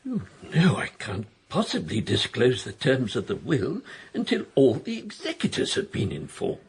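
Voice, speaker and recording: An actor playing a dithering, hesitant older family friend in a radio comedy. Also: male voice, mid-range voice, wildly swooping pitch, normal speed, vocal fry, husky, heavily acted. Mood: flustered. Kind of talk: radio drama acting.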